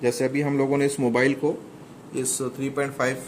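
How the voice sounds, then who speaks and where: A man's voice: mid-range voice, level tone, brisk, slight background noise. A middle-aged man giving a step-by-step product demonstration, explaining plainly with a few hesitations, indoors beside the equipment. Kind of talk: product walkthrough demo.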